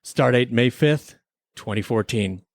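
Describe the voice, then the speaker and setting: A man's voice: medium pitch, measured pace, no echo, studio quality. A man hosting a podcast that mixes casual chat with comic radio drama.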